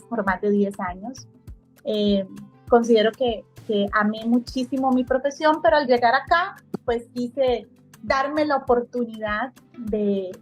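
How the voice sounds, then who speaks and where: Middle-aged woman, from Costa Rica, high-pitched, effusive chat with restarts, faint music behind. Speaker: guest realtor. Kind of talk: casual interview chat.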